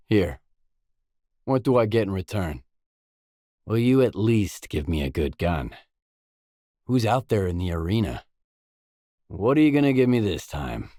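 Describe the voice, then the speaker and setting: A wary stalker for hire from a video game, speaking in a lowish male voice. He is blunt and terse, keeping things dry and matter-of-fact. The recording is very dry, with no room sound around the words. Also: a little mellow, no room sound. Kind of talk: scripted game voice lines.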